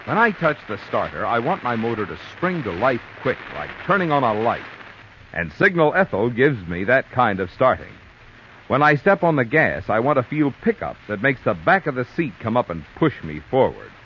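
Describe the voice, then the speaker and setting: A man's voice: mid-range voice, big pitch swings, moderate pace. A man delivering a folksy testimonial as an announcer in a 1940s radio advertisement. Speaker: commercial announcer. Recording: muffled, faintly noisy old radio recording.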